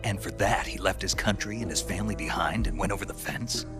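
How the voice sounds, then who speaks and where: Tough male special agent voiced by a man for a dramatic game: low, gruff, cool, terse and dryly inquisitive. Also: brisk, over music, lightly acted. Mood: curious, wry. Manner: terse, dry, questioning. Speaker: field operative being briefed. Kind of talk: dramatic scripted video game dialogue.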